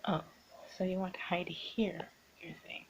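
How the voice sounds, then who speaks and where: A woman's voice: lowish voice, flat delivery, unhurried. Woman acting as teasing young art student, noisy recording. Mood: sly teasing. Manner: coy, teasing, half-whispered delivery. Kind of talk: improvised ASMR roleplay acting.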